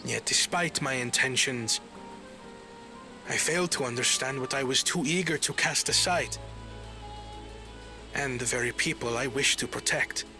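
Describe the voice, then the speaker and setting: A man playing a solemn, scholarly young prince in a video game cutscene, humbled and dutiful, with formal, measured oratory. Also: medium pitch, music in the background, close to an everyday voice. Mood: solemn, regretful.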